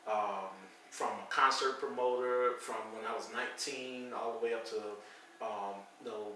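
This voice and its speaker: A middle-aged American man from St. Louis tells stories in an easygoing way, pausing on fillers as he talks. His voice is bright and forceful. It sounds echoey, and he is a little away from the mic. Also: fairly low pitch, slight background noise. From St. Louis, Missouri, USA. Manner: conversational storytelling, frequent fillers. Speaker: channel host. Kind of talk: casual chat. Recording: echoey room, mic at a distance.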